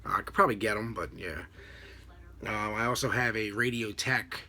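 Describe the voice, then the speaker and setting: A middle-aged American man giving a casual, unscripted explanation to camera in a halting, rambling way. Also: on the low side, pushed and bright. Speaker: vlogger, radio scanner hobbyist. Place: small home room with a desk, indoors. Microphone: computer webcam at desk distance.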